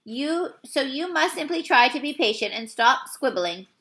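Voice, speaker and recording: A woman voicing a very old, friendly male giant from a children's storybook, excitable and easily forlorn, with muddled grammar and invented words. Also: high voice, moderate pace, exaggerated voice. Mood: wistful.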